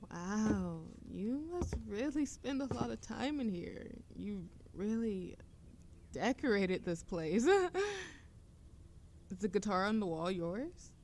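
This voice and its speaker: Slow-talking, teasing young woman played by an actress. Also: mid-range voice, a little mellow, a little hiss or hum. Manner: playful, teasing, breathy laughs and drawn-out phrases. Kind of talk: intimate roleplay audio acting, one-sided dialogue.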